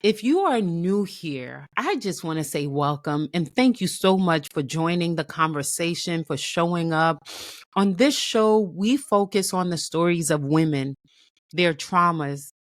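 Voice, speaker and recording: A woman's voice: lowish voice, dry recording, clean studio sound. A woman from Haiti hosting her own show, telling her story in an earnest, reflective way and often repeating her phrases. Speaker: host.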